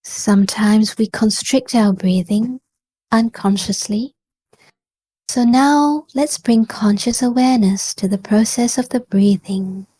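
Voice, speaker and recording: A woman guides a meditation at a very unhurried pace. Her voice is soft-edged and mellow, recorded close and dry. She speaks gently and calmly, encouraging the listener with pauses between short phrases. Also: on the high side, slightly rough, no room sound. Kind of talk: guided body scan meditation.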